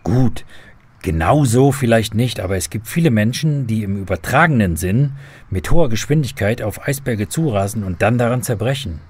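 A man's voice: on the low side, expressive, normal speed, a little mellow, slightly rough. A man playing an earnest believer who patiently explains faith to children in a scripted radio drama.

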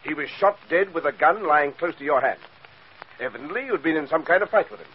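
An actor playing a stern, impatient police commissioner, gruffly interrogating in 1940s radio style. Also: male voice, fairly high pitch, lively intonation, brisk, slight background noise, natural voice. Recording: dull 1940s radio recording.

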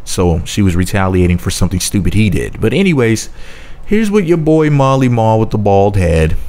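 A man's voice: on the low side, very animated, moderate pace, warm tone. A man giving casual, sarcastic celebrity gossip commentary in a mocking, profane rant. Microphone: voice-over.

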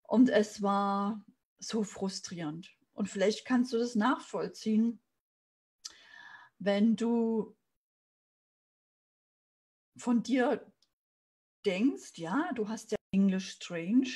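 A woman's voice: mid-range voice, very slow, pauses often, darkish tone, breathy edge. A woman in her thirties from Saxony, a business coach telling a personal story in an earnest motivational talk to camera on a video call. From Saxony, Germany. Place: small room indoors.

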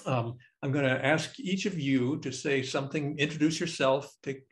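An older man leads an online panel from a study at home. He talks in a loose, easygoing way, with small laughs between his remarks. His pitch sits in the middle range. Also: moderate pace. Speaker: panel moderator. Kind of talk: webinar moderating. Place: home study, on a video call. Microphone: video call.

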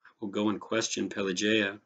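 A man reading as a quiet, bewildered mother. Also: on the low side, level tone, a little fast. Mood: troubled, quiet. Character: puzzled, troubled mother of a young boy.

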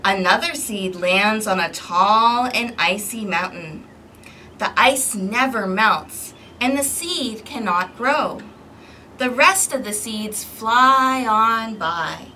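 A woman reading a picture book aloud to young children in an expressive, interactive way, recorded outdoors on a balcony. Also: fairly high pitch, takes it slowly. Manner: expressive, clearly paced, questioning as if to young children. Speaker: storytime host for a community service. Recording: distant mic with outdoor background noise.

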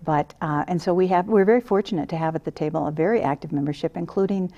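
A woman's voice: lowish voice, quick pace, warm tone, muffled recording. A woman in a studio interview, speaking earnestly as a guest on a lapel mic, with frequent restarts and fillers. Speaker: interview guest, council director. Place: small TV studio set, seated.

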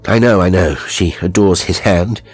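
A man voicing a hot-tempered, debauched young nobleman with a commanding, forceful manner in a horror story. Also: sits low, moderate pace, breathy edge, soft background music. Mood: grim.

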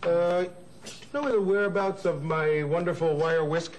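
A man's voice: on the high side, normal speed, noisy background, natural voice. A man playing a cook in a kitchen sketch, bantering and teasing with tongue-twisters. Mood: playful. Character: Paul, a playful cook in a comedy kitchen sketch.

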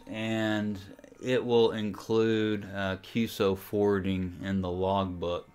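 A man giving an informal software tutorial, explaining things casually, step by step, as a voice-over. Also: on the low side, clear, resonant tone. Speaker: amateur radio tutorial host.

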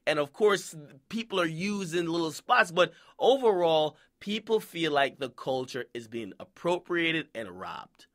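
A man's voice: on the high side, expressive, a little slow, hardly any pauses. A man from Jamaica hosting casual commentary, who keeps checking in with "okay?" and "right?" and acts out quoted voices. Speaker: commentary host.

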